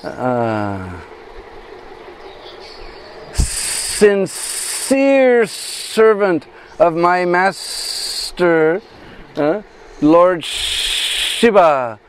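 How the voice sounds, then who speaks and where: Man voicing a solemn servant snake, drawn-out halting lines, raised voice. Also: high voice, sing-song, a little hiss or hum, full character voice. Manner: slow, halting, deliberate.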